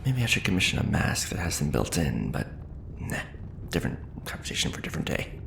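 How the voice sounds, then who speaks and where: A man doing a soft-spoken ASMR roleplay as a veteran craftsman, patiently and encouragingly instructing an apprentice in measured phrases. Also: rumbling low, sing-song. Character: veteran mask maker patiently training a new apprentice. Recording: roomy echo with clear background noise.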